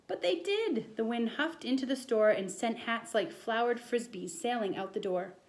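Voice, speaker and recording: A woman voicing an earnest, excitable schoolboy who tells a tall storybook tale with dramatic insistence. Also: fairly high pitch, normal speed, natural voice. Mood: pleading, insistent.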